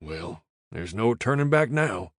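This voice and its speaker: A man's voice: deep voice, lively intonation, normal speed, fairly bright tone, slightly rough, dry recording, clean studio sound, exaggerated voice. A man voicing a terse, clipped American gang leader.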